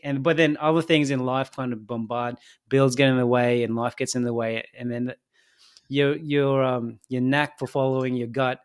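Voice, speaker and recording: Man, young adult, podcast co-host, English not his first language, reflective, halting. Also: medium pitch, clear, resonant tone. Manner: thoughtful, halting, laughs easily. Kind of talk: casual podcast chat.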